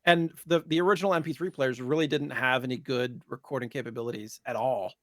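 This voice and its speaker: A man's voice: mid-range voice, fairly even intonation, fast talker, a bit bright, no room sound, studio quality. An American man in his thirties chatting casually and in slang as a podcast host. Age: 30s. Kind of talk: casual chat about retro gaming.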